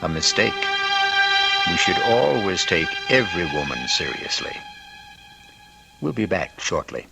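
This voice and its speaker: A man's voice: low-pitched, very animated, unhurried, gravelly, long pauses, exaggerated voice. A man playing a wry, ominous host, delivering droll, theatrical old-time radio narration. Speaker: mystery show host. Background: music.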